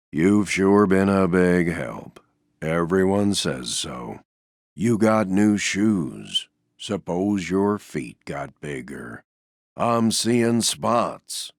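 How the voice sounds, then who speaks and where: A glum, gentle stuffed donkey from a video game, speaks in a very low, slow drawl full of gravel. The delivery is deadpan and resigned, often trailing off at the ends. The recording is close and dry. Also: male voice, no room sound, exaggerated voice. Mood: mildly pleased. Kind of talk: video game character voice lines.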